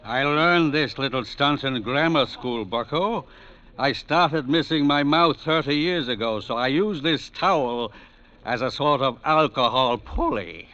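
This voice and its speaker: An actor playing a grandiloquent, shaky skid row drunk with pompous, florid diction in a 1940s radio drama. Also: male voice, medium pitch, expressive, unhurried, bright, forceful tone. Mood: boastful, rambling. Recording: dull, band-limited vintage radio recording.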